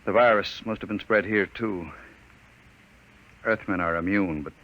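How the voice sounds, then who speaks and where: A man's voice: lowish voice, a little slow, muffled recording, lightly acted. A man acting as an impassioned, desperate and bitter scientist in a 1950s science fiction radio drama. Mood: bleak, resigned. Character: impassioned, desperate human scientist from an empire, turning bitterly resigned. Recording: noisy vintage 1950s radio recording.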